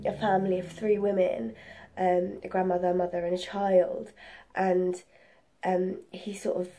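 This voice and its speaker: A young woman chatting casually and excitedly about books as a vlog host, rambling and correcting herself, slightly congested with a cold. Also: fairly low pitch. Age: young adult. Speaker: book reviewer and video host. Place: room at home.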